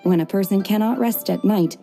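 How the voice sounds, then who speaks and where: A woman voicing a calm, polite, aloof young woman warrior who speaks in a measured way in a game cutscene. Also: medium pitch, soft background music, natural voice.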